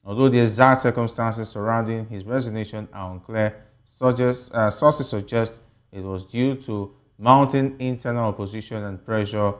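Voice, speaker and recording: A Nigerian man hosts a televised current affairs panel in a fairly low voice. He speaks in a broadcast news style, steering guests and delivering updates to viewers. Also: fairly even intonation, moderate pace. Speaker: TV host of a political panel show. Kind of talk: broadcast news discussion hosting.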